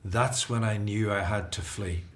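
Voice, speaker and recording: An actor playing an earnest, resolute fugitive prince of ancient Egypt in a radio drama. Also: male voice, on the low side, steady tone, unhurried, lightly acted. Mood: anxious, confiding.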